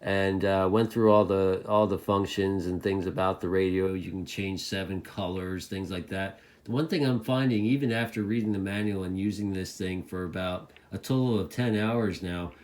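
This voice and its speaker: A man's voice: deep voice, fairly even intonation, moderate pace, hardly any pauses, a little mellow. A man giving a casual hobbyist product review to camera, rambling along and often repeating his phrases.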